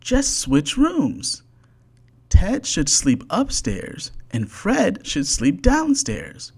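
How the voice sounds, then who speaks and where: Storyteller as small picture-book bird who knows best: high-pitched, emphatic, helpful, lively intonation. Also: extremely slow, husky, heavily acted. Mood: confident.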